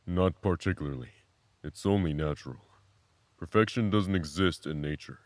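A man playing a calm, grave, philosophical mentor in an audio drama, speaking in a measured, contemplative way. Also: low-pitched, no room sound, lightly acted.

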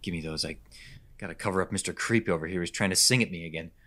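A man playing a jittery, anxious young husband in a horror radio drama, speaking haltingly. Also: deep voice, close to an everyday voice. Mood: irritated, uneasy. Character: jittery, nightmare-plagued young husband on honeymoon. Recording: clearly noisy background ambience.

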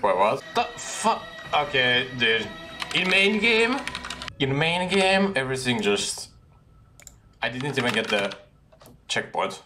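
A young man casually commentating a horror game he streams, breaking into high surprised laughs. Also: sing-song, takes it slowly, long pauses. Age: young adult. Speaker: gaming streamer. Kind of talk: casual let's-play commentary. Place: room at a desk with a gaming chair. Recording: game music playing behind. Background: game music and keyboard typing.

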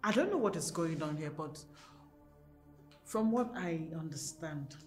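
A woman's voice: deep voice, animated, measured pace, darkish tone, echoey room, faint music behind. An actress playing a bold, outspoken woman in a screen drama. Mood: firm, challenging. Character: bold outsider who speaks up for a friend.

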